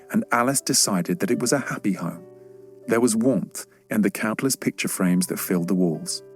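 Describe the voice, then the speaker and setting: Lower-voiced man narrating a children's story. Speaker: audiobook narrator.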